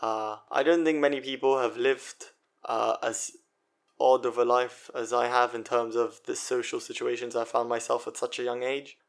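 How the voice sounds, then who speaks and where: A man's voice: medium pitch, little pitch movement, normal speed. Chatty young male podcast host. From Israel. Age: 20s. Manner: chatty and self-mocking, many fillers and restarts. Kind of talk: solo podcast monologue.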